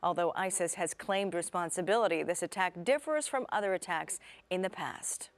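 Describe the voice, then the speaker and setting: A woman's voice: on the low side, moderate pace, no echo. A woman with an American accent reading scripted television news as an anchor. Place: TV studio.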